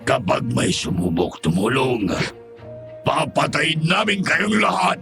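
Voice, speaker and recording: Cruel male monster master, gravelly, swooping delivery, horror audio drama, music underneath. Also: mid-range voice, huge pitch swings, sharp tone, full character voice. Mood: cold threat. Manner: slow, menacing, mock-polite. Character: cruel, taunting male aswang lord of a mansion.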